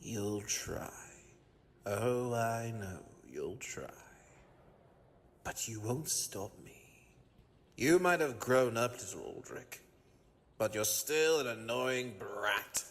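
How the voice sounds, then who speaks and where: An actor playing a gloating, obsessive mad scientist in a gothic audio drama, theatrical, taunting and sneering. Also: male voice, medium pitch, animated, takes it slowly, long pauses, fairly bright tone. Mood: menacing. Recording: long hall-like reverb.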